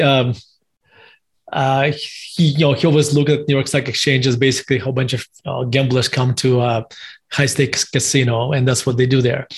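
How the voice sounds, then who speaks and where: A middle-aged man with a Russian accent, talking as a guest in an interview, halting and restarting often. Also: mid-range voice, husky. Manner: halting, many restarts, self-deprecating. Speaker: guest author and investor. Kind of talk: interview conversation.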